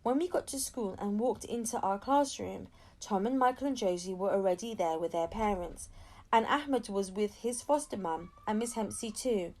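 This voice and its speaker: A woman reading a children's novel aloud as an expressive storyteller. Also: medium pitch, moderate pace. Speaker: audiobook reader.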